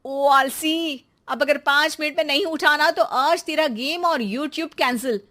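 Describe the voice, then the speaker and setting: A woman voicing an exasperated, sharp-tongued young mother who scolds loudly and sarcastically in a Hindi cartoon story. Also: high-pitched, unhurried, voice pushed up. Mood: stern scolding.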